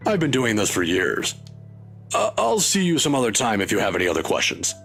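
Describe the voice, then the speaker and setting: A man voicing an older male professor who speaks in a measured, lecturing, wry way, with a husky voice. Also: mid-range voice, wildly swooping pitch, a bit bright, faint music behind, exaggerated voice. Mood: hurried.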